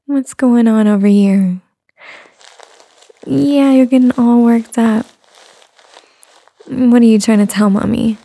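A woman in a soft-spoken roleplay, whispering and cooing in a soothing way. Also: fairly high pitch, steady tone, takes it slowly, pauses often. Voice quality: whispery, breathy. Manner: cooing, soothing, talks as to an infant. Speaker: roleplay performer.

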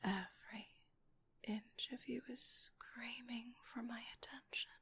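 A woman's voice: fairly high pitch, steady tone, takes it slowly, fairly bright tone. A woman whispering breathily in an intimate ASMR-style monologue, with a teasing, commanding and lingering delivery.

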